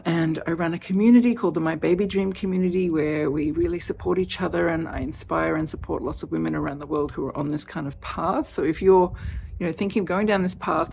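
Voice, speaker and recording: A heavily pregnant woman in her fifties talking off the cuff in a personal vlog, chatty and animated, laughing often. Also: lowish voice, creaky, a little hiss or hum. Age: 50s. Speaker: vlogger.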